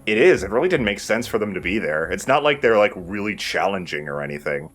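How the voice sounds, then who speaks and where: A man's voice: fairly low pitch, big pitch swings, quick pace, fairly bright tone. A man giving casual, explanatory gameplay commentary in an informal way, with some swearing. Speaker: let's play host and player. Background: game music.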